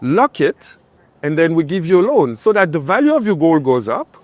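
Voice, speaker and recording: A man's voice: on the high side, big pitch swings, normal speed, no room sound. A man from Cameroon and France answering as an interview guest in conversation, often checking his points with "right?". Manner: punctuates points with tag questions like "right?". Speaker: interview guest, finance entrepreneur.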